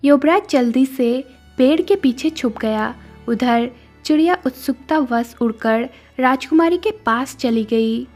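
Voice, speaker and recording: High-pitched woman story narrator. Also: a little slow, few pauses, darkish tone. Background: music.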